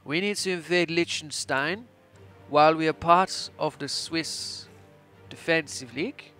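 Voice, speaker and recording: A young man in his twenties plays game host with a put-on Austrian accent and a rather high-pitched voice. His delivery is theatrical and mock-imperious, like a ruler giving orders. Music plays behind him. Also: measured pace, pushed and bright. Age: 20s. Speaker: gaming channel host. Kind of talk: playful strategy game let's play commentary.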